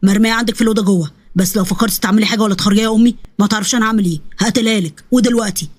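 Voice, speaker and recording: A woman's voice: mid-range voice, fairly even intonation, racing, natural voice. A woman voicing an abusive, threatening husband with an Egyptian Arabic accent and a harsh, scolding manner. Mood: menacing.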